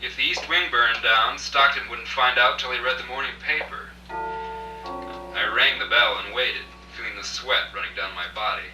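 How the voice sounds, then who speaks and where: A man's voice: medium pitch, moderate pace, over music, close to an everyday voice. An actor playing a broke freelance journalist, telling his tale dryly in hard-boiled radio drama narration, recorded at a rehearsal. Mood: wry, intrigued. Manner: dry, wry storytelling. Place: echoey room at a dress rehearsal. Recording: echoey, distant, noisy old video rehearsal recording.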